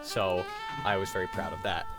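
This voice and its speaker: A young adult man in casual conversation, animated and often halting mid-thought. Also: deep voice. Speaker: member of an amateur script-reading group. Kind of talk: casual group chat. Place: at home on a group video call. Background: music.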